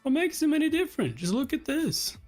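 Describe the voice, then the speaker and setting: A man's voice: very high-pitched, big pitch swings, fairly quick, darkish tone, soft background music, voice pushed up. A man voicing a defensive, scheming male office manager in a webtoon read-aloud, indignant and accusatory. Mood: scheming, insistent.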